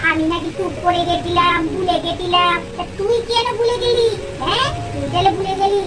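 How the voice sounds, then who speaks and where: A woman's voice: extremely high pitch, fairly even intonation, a little fast, very noisy. A woman voicing a cheeky, bickering child doll in a puppet story, with a sing-song, petulant way of talking. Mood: petulant, argumentative. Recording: loud music under the voice.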